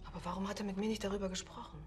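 A sharp, suspicious widow, played by a woman, pressing with questions in a plain voice. Faint music lies behind the dialogue. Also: medium pitch, flat delivery, rapid-fire, lightly acted. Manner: wary, questioning.

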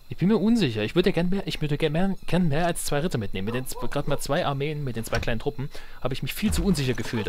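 A man's voice: mid-range voice, a little hiss or hum. A man giving casual let's play commentary as a gaming host, thinking aloud and addressing his viewers.

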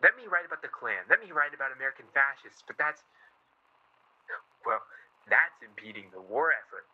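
An actor playing an embittered, wry 1940s newspaperman in a radio play, with a husky, sardonic delivery. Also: mid-range voice, very animated, normal speed, lo-fi sound. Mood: bitter, frustrated.

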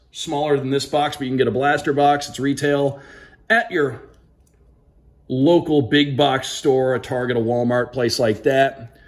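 An American man with a mid-pitched voice gives casual commentary while unboxing. He sounds like a sports broadcaster, calling out names crisply in a play-by-play manner. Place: indoors at a tabletop, small room.

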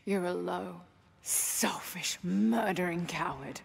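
A woman's voice: on the low side, slow, gravelly, a little hiss or hum, full character voice. An actress playing a determined young woman adventurer with old grief, earnest, in a video game cutscene. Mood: quiet, intense. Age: young adult.

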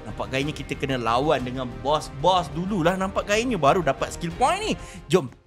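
A man's voice: fairly high pitch, animated, normal speed, music in the background. A young man with a Malaysian accent doing playful, exclamatory roleplay commentary as host of a gaming video, repeating words for emphasis. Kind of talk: excited roleplay game commentary.

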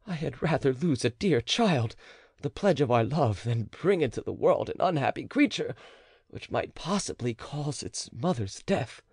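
A man's voice: medium pitch, lively intonation, moderate pace, darkish tone, slightly rough, dry recording, studio quality, pitched above the performer's own voice. A man reading the part of a desperate, anguished nobleman who pleads emotionally. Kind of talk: audiobook character reading.

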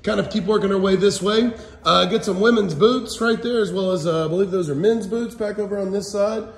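A man's voice: high voice, brisk. A man in his forties giving a casual, folksy auction walkthrough, listing items for viewers on a handheld phone. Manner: folksy, addresses viewers as guys. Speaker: auction house presenter. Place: large indoor auction hall and warehouse. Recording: echoey warehouse hall reverb.

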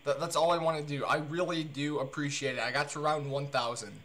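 Male game streamer, bright forceful voice, stammering repeats, casual excitable chat, faint noise. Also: on the high side, brisk. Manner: hesitant, repetitive phrasing with stammered starts. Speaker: game streamer making a prank call.

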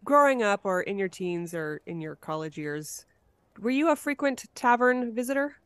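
A woman's voice: medium pitch, animated, normal speed, fairly bright tone, smooth, no room sound. A woman hosting a podcast in a casual, conversational interview style. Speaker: podcast host.

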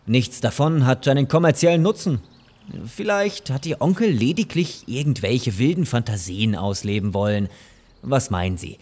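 A man playing a slick, overeager lawyer in an audio drama, flattering and evasive, with hesitant persuasion. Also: mid-range voice, moderate pace, lightly acted. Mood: dismissive. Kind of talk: German audio drama acting. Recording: roomy, echoing sound.

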